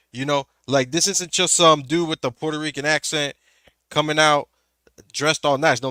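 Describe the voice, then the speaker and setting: A man's voice: fairly high pitch, bright, forceful tone, dry recording. A man in a casual wrestling chat, earnest and emphatic as he makes his case. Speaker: podcast co-host.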